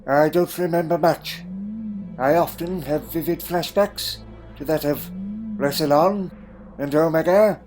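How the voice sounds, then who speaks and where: Male actor as a troubled, proud Time Lord for audio drama; slightly high voice, old-fashioned wording, melancholy and guarded, over faint music. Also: unhurried, a little mellow, lightly acted. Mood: wistful, haunted.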